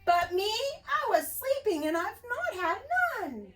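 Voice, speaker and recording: A woman's voice: extremely high pitch, big pitch swings, takes it slowly, a bit bright, recorded from a distance, pitched above the performer's own voice, exaggerated voice. A woman voicing a big grumpy bear who complains with self-pity, in a children's picture book reading. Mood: aggrieved.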